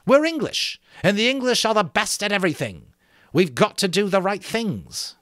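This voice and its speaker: Man playing a high-pitched bossy schoolboy leader. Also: sing-song, normal speed, a bit bright, no echo, clean studio sound. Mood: proud, commanding. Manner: fierce, commanding. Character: Jack, bossy, proud, contemptuous English choir-leader schoolboy.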